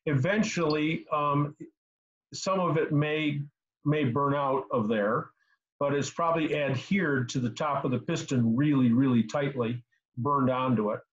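A man in his sixties giving casual technical advice as a host on a video call, halting and repeating words as he explains. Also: a little high. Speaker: host answering car repair questions. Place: small home room indoors. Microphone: computer mic on a video call. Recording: slightly dull video call audio.

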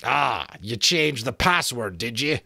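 Man acting a blustering, loud giant outlaw. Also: mid-range voice, lively intonation, a little slow, gravelly, no echo, clean studio sound, voice pushed up, heavily acted. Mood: furious. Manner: blustering, old-fashioned rustic turns of speech. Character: giant outlaw, blustering, hot-tempered, jolly male.

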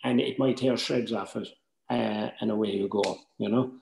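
A man's voice: fairly low pitch, steady tone, moderate pace, gravelly, darkish tone. An older man with an Irish accent gives informal, advisory workshop tuition, often checking in with short tags, on a video call. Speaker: workshop tutor. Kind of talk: informal instructional talk. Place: indoors at home on a video call. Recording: roomy echoing video call.

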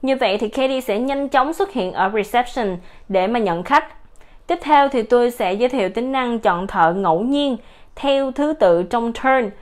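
A woman giving a steady, explanatory voice-over for a software product demo. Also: fairly high pitch, unhurried.

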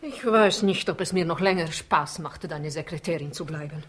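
An actress playing a disillusioned, reproachful secretary to a Soviet agent in a 1960s radio crime play. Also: female voice, low voice, normal speed, lightly acted. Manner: reproachful, earnest. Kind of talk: 1960s German-language radio crime drama acting. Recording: noisy old radio recording.

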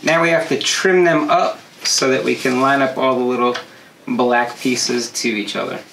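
A man's voice: medium pitch, fairly even intonation, moderate pace, creaky, background noise. A man in his thirties co-hosting a DIY show, casually explaining each step of a woodworking project as he works in a home workshop. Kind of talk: step-by-step craft tutorial. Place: home workshop studio, indoors. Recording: roomy workshop echo.

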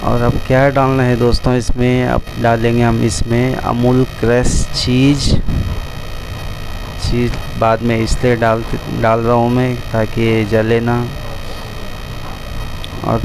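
A man talks viewers through a recipe at a gas stove in a commercial kitchen, naming each step plainly and calling them friends. His delivery stays level and even. A steady hum and kitchen noise sit clearly under his voice on the phone recording. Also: mid-range voice, slow, long pauses. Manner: addresses viewers as friends, repeats steps plainly. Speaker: cooking vlogger. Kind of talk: step-by-step cooking instructions.

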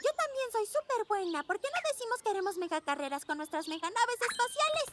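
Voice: extremely high pitch, sing-song, fast talker, heavy background noise. A performer voicing a confident, cheerful little girl rabbit superhero in a children's cartoon, with a Latin American Spanish accent. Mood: friendly. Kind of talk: animated children's cartoon acting.

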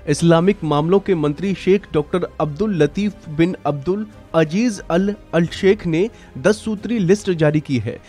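A man's voice: high voice, a little mellow. A man reading scripted news narration as a presenter. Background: background music.

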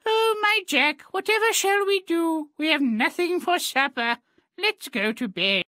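Very high, melodramatic mother. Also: animated, measured pace, bright, forceful tone, no echo, studio quality, exaggerated voice. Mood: doting, worried. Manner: melodramatic, fretful. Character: Jack's poor, anxious, scolding mother. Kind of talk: video game character acting.

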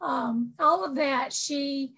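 A woman on an online panel, adding a point in a measured, explanatory way. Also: sits high, sharp tone. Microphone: video call.

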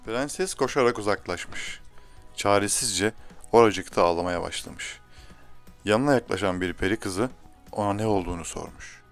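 A man's voice: on the low side, normal speed, faint music behind. A man reading a scripted fairy tale to children as a storyteller.

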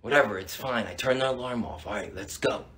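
A man's voice: a little high, wildly swooping pitch, fairly quick, a bit bright, breathy edge, exaggerated voice. A man playing a bossy, irritable teenage brother in a family comedy skit, giving curt orders. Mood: hushed, bossy. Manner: curt, commanding.

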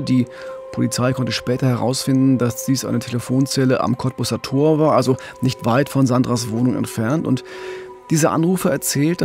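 A man's voice: medium pitch, vocal fry, darkish tone. A man with a German accent, co-hosting a podcast and telling a true-crime case in a conversational way. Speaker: podcast co-host.

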